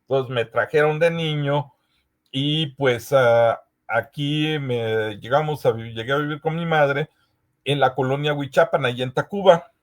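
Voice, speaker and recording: A man from Veracruz with a Mexican accent, moderating a session in a casual, hesitant way and pausing often as he searches for words. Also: a little high. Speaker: host of a history conference session. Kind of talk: casual moderating, reading audience comments aloud.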